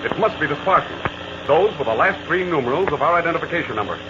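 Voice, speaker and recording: An actor playing a calm, commanding heroic pilot in 1940s radio acting on an old recording. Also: male voice, on the high side, quick pace, lightly acted. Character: Captain Midnight, calm heroic male pilot. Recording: old noisy lo-fi radio transcription.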